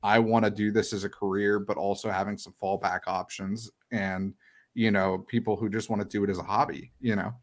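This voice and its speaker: A man's voice: lowish voice, level tone, fairly quick. A man in his thirties co-hosting a podcast, chatting casually and conversationally, often dropping in "you know". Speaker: podcast co-host. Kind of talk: casual chat.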